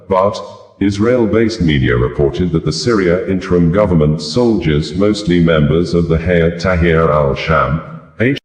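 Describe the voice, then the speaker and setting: A man's voice: low-pitched, darkish tone, reverberant. A man reading scripted news as a narrator, in a formal manner. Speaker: news reader.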